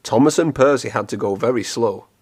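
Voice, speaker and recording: A man reading a children's storybook aloud in an expressive, clearly phrased storytelling manner. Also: medium pitch, a little fast. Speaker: storybook reader.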